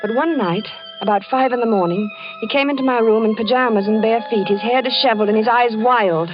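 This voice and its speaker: An actress playing a patient, worried wife who narrates in a 1940s radio drama, soothing and gently probing. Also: female voice, a little high, fairly quick, natural voice. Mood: reflective. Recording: dull lo-fi old radio broadcast with music.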